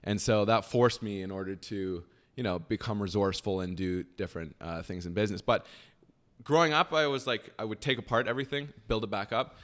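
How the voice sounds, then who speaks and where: A young man chatting casually as a podcast guest, rambling with lots of fillers and trailing off quietly. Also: fairly low pitch, fairly quick. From Canada. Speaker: podcast guest, business founder. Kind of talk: casual conversation.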